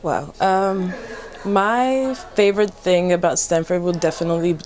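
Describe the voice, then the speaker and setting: A young woman from Rwanda speaks in a very low register for a woman. She gives a casual student interview answer, hesitating and pausing as she goes. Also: a little mellow. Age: young adult. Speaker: university student.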